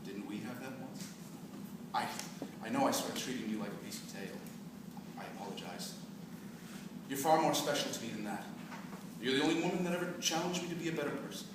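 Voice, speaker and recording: An actor playing a smug, seductive, grandiose zombie convert in a staged reading of a dark comic play, with a theatrical delivery that moves between silky and gloating. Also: male voice, medium pitch, a little fast, pauses often, natural voice. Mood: tender, seductive. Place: live stage reading in a hall. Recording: echoey hall from far off, noisy.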